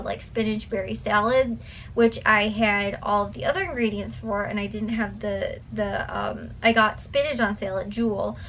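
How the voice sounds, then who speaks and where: A woman's voice: mid-range voice, fairly bright tone, a little hiss or hum, rough recording. A tired young woman vlogger chatting casually to her viewers at home at night, rambling with lots of fillers and restarts, her voice a little worn. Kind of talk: casual chat to camera.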